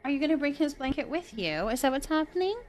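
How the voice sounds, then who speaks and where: Higher-pitched woman chatting casually on stream. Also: very animated, fast talker, a little mellow, slight background noise. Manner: chatty, thinks aloud. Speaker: live stream host. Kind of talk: casual live game stream chat.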